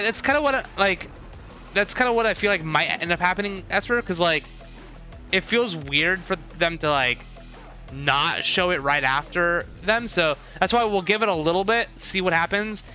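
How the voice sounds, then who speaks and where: A man's voice: high-pitched, normal speed, pushed and bright, soft background music. A man in casual live stream chat, thinking aloud and talking with his viewers as he reacts.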